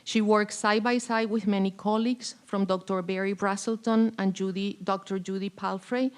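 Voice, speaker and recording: A woman's voice: medium pitch, fairly even intonation, moderate pace. A woman presenting an award with a prepared, formal tribute speech. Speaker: award presenter, faculty colleague.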